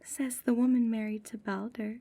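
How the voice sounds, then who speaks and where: A woman playing a sly, sharp-tongued Norse goddess in an ASMR roleplay audio drama. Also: a little high, steady tone, normal speed. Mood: sly teasing.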